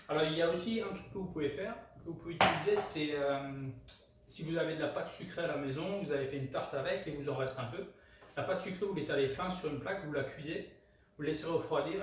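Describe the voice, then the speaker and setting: Echoey, hesitant French man cooking. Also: a little high. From France. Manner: hesitant, many fillers and restarts. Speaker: home cook, channel host. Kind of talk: casual cooking tutorial. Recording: very echoey room, mic far off, clear background noise.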